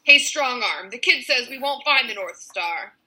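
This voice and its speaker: A woman voicing a big, dopey, good-natured male henchman in a children's story, slow-witted and fussy, mangling his words. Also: on the high side, expressive, bright, forceful tone, pitched above the performer's own voice, exaggerated voice, poor audio. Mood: eager.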